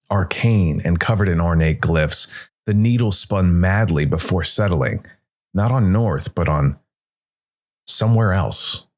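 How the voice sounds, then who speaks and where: A man narrating a scripted dramatic story in a measured way, with pauses for effect. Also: low voice. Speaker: narrator, solo storytelling player.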